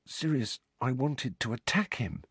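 A man voicing a troubled teenage boy who speaks in tense, hushed, urgent bursts. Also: fairly high pitch, sing-song, unhurried, breathy edge, dry recording, pitched above the performer's own voice, heavily acted. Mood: hushed frightened.

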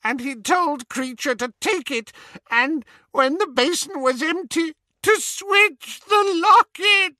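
A shrill, squeaky old male house-elf, sobbing and stammering, slow, drawn-out words, swooping wildly, dry close sound. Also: lively intonation, bright, forceful tone, no room sound, full character voice. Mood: sobbing, pleading. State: crying. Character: elderly male house-elf, servile, grief-stricken. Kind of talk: audiobook character voice.

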